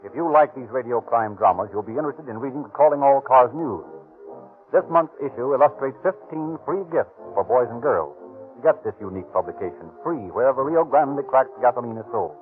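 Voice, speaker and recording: A man's voice: medium pitch, lively intonation, brisk, soft background music. A man with an American accent reading scripted narration as a 1930s radio announcer, on a tinny vintage recording.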